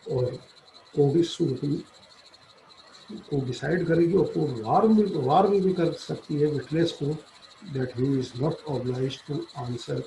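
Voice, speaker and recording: Slow, gravelly-voiced man lecturing. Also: fairly high pitch, mellow, a little hiss or hum, rough recording. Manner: halting, deliberate, switches into English for quotations. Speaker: law lecturer. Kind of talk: explanatory lecture, reading statute then paraphrasing.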